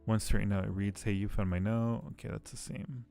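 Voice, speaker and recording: Male game streamer, fairly deep with vocal fry, chatting casually and muttering through each move. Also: flat delivery, a little fast, mellow, soft background music. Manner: thinks aloud while playing. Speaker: streamer playing a point-and-click game. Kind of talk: casual livestream chat with read-aloud game text.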